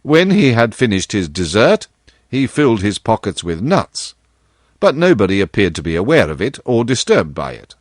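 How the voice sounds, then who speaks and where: A male audiobook narrator with a lowish, very animated voice. He reads a storybook in measured, expressive phrases. The sound is dry, with no room around it. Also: dry recording.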